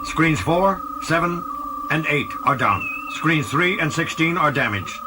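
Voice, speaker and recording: A man's voice: fairly high pitch, expressive, a little slow, noisy background, pitched above the performer's own voice. A man voicing a dutiful, grim starship officer who reports in a clipped military way in a science fiction audiobook. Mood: grim, urgent. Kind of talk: audiobook character dialogue.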